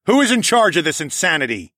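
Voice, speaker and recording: A man's voice: mid-range voice, expressive, a little fast, a bit bright, no room sound, pitched above the performer's own voice, natural voice. A man playing a defiant, sardonic male hero who argues and snarls in a fantasy audiobook. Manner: snarling, mocking, argumentative.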